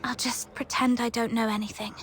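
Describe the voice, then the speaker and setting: A woman's voice: fairly high pitch, little pitch movement, brisk, fairly bright tone, slight background noise, lightly acted. A woman voicing an earnest, determined and protective young heroine in a video game. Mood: earnest, subdued.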